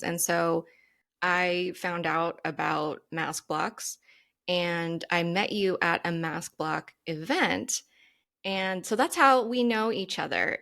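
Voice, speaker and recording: Gravelly-voiced woman hosting a podcast. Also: on the low side, a bit bright. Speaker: podcast host and astrologer. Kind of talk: casual interview chat.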